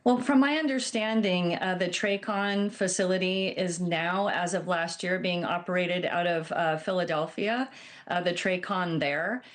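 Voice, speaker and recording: Measured woman, expert guest on TV news. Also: lowish voice. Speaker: airline captain, expert guest. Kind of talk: broadcast news interview answers.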